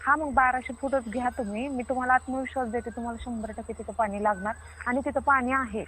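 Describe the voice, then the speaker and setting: A woman's voice: on the high side, steady tone, fast, fairly bright tone, husky. A woman playing a stubborn, self-assured water diviner with a rural Maharashtrian Marathi accent, arguing insistently and repeating herself in a comic phone-call skit. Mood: confident, insistent.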